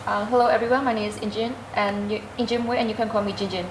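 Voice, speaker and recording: A young woman, a student guest answering interview questions hesitantly, filling pauses with "like" and "um". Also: medium pitch, level tone, noisy background. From Myanmar. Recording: roomy echo.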